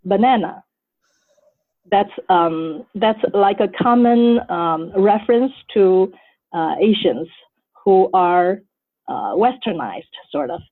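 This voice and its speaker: A woman in her seventies from Taiwan, an author answering interview questions thoughtfully, with frequent pauses and fillers. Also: medium pitch. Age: 70s. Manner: thoughtful, hesitant, many fillers. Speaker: author guest. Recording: phone-like call line.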